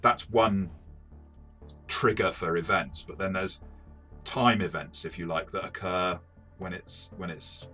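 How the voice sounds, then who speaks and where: Male reviewer, very low forceful voice, analytical and exploratory, faint music underneath. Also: fairly even intonation, unhurried, pauses often. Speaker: game reviewer and host. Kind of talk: casual analytical review discussion.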